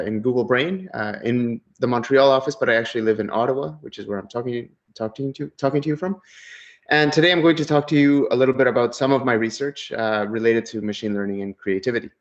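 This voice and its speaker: Middle-aged guy from ecuador, relaxed keynote talk over a muffled video call, lots of ums and restarts. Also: mid-range voice, brisk. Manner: relaxed, many fillers and restarts. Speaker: keynote speaker. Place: home office. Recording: dull lo-fi video call audio.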